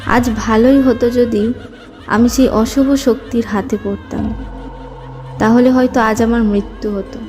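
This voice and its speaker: A woman's voice: fairly high pitch, steady tone, measured pace. A woman playing a sorrowful young village girl who is secretly a demon in a horror story, mournful and eerie, with heavy reverb. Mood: morbid, mournful. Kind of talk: dramatic horror story acting. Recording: long reverb with soft music.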